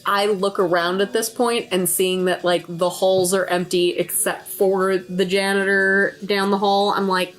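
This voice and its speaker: A woman's voice: medium pitch, little pitch movement, a little slow, hardly any pauses, soft background music. A woman talking casually as a player in a tabletop game.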